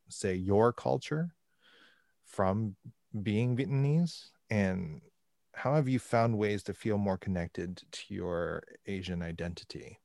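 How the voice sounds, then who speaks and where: A man from Canada hosting a podcast, framing interview questions in a measured, articulate way. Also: low-pitched, a little mellow, no echo, clean studio sound. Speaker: podcast host.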